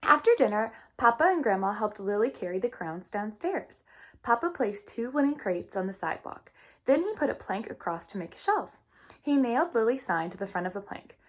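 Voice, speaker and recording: A woman reading a children's book aloud in an expressive storytime style. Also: medium pitch, fairly quick. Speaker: storytime reader.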